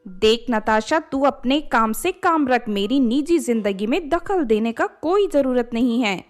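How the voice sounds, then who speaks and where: Woman as young stepdaughter, high voice, hot-tempered, dramatic, animated storybook cartoon. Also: unhurried, soft background music. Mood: angry, scornful. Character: spiteful, selfish young stepdaughter, hot-tempered, later panicked.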